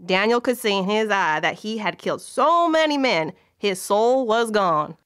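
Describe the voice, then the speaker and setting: A woman's voice: mid-range voice, animated, moderate pace, fairly bright tone, clean tone. A woman narrator telling a scripted Old West story in a folksy storytelling style.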